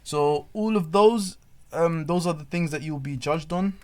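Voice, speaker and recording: A man's voice: fairly high pitch, unhurried. A man co-hosting a casual chat, giving earnest advice to trainee teachers and often repeating words as he thinks. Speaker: podcast co-host.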